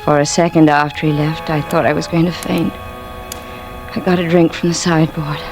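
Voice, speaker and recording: Scheming, bitter radio-drama wife, music underneath. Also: female voice, low voice, flat delivery, moderate pace. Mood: cold, gloating. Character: scheming bitter wife narrating her poisoning of her husband. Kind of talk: 1950s radio drama acting, intimate confessional narration.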